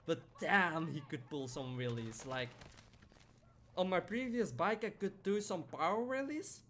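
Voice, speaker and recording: A man's voice: high voice, animated, measured pace, sharp tone. A young man chatting casually on a live stream while gaming, rambling through stories and answering chat by name.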